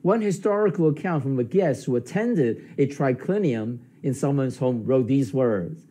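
Mellow, husky-voiced older male pastor. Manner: measured, explanatory preaching with lively pitch rises. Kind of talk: sermon. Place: studio with plain light backdrop, indoors.

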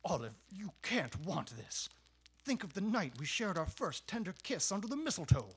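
A man's voice: high-pitched, sing-song, moderate pace, a bit bright, breathy edge, full character voice. A young man playing a blustering, self-pitying, cheating Santa Claus in comic radio theater, melodramatic and full of excuses. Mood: pleading. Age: young adult. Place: small studio stage, indoors. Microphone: boom-mounted studio mic.

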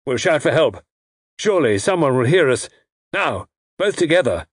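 A man voicing a gallant, protective army major in an audiobook, with a brisk, plucky, plain-spoken manner. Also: on the low side, lively intonation, slightly rough, no room sound, clean studio sound. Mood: urgent.

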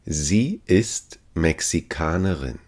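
A man's voice: low-pitched, expressive, slow, darkish tone. A man teaching language phrases, saying each one three times carefully and evenly. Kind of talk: slow repeated phrase drill.

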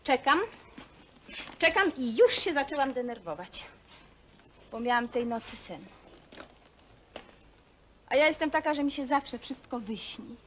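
A superstitious, naive young sweetheart from an old film drama. She gushes and rambles in a highish voice, folksy and fretful, over faint soundtrack noise. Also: animated, a little slow, long pauses, fairly bright tone. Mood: fussing, anxious. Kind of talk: naturalistic film acting.

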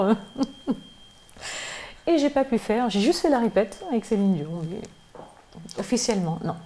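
A woman's voice: mid-range voice, warm tone, a little hiss or hum. A middle-aged woman from Martinique, raised near Paris, talking as an interview guest, hesitant with many fillers and restarts. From Martinique, grew up in Paris region. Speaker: gospel singer, guest. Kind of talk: casual interview chat. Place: living room by a piano, indoors. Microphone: handheld mic held by interviewer.

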